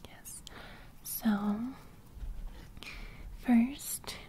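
Sleep fairy, played by a young woman: gentle, caring, whispered and slow, soothing ASMR roleplay. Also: a little high. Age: young adult. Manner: soft, repetitive, soothing. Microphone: close studio microphone.

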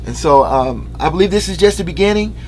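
A man's voice: high voice, animated, fairly quick, a little hiss or hum, poor audio. A man in his thirties making an earnest, upbeat announcement to fans from a small living room, partly reading from notes with fillers. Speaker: musician addressing fans. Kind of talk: casual direct-to-camera announcement.

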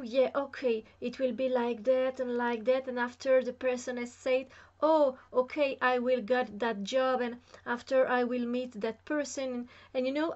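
A woman's voice: sits high, lo-fi sound. A young woman, French her first language, chatting casually to camera as a vlogger, filling her talk with lots of "you know"s, in a small room at home. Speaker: spiritual vlogger.

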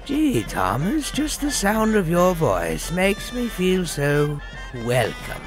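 A man voicing an old, bumbling male dwarf cleric with a pompous, bluffing manner and a gravelly voice. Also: sits high, wildly swooping pitch, slow, music in the background, full character voice.

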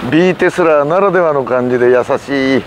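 A man's voice: fairly high pitch, quick pace. A man giving casual fishing commentary, muttering reactions and explaining informally as host. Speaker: fishing show host and angler. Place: open boat at night, outdoors. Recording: noisy outdoor boat recording, wind. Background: boat and wind noise.

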